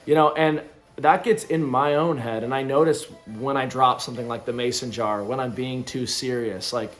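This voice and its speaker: A man's voice: medium pitch, fairly even intonation, moderate pace, soft background music. A man of thirty talking loosely to camera in a casual reflective vlog, rambling with plenty of ums and likes. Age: 30s. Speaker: vlogger. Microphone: camera in front of him.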